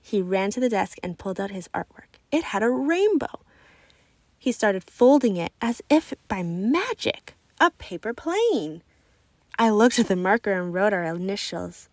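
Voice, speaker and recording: A woman reading a children's book aloud in an expressive, animated storytelling style. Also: medium pitch, measured pace, no echo. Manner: animated, acts out children's dialogue.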